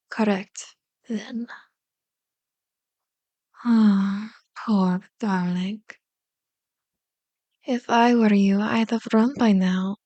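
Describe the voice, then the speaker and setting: A woman playing a powerful elder vampire in an ASMR roleplay, seductive and taunting, with slow, deliberate, formally worded speech. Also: mid-range voice, a little mellow, no room sound, close to an everyday voice. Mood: mock sympathy, probing. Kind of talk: ASMR roleplay, scripted monologue. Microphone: close microphone, dry recording.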